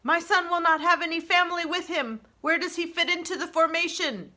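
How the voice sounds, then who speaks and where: A woman's voice: very high voice, brisk, bright, forceful tone, voice pushed up. A woman voicing a composed, caring robot mother in a children's storybook. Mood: concerned.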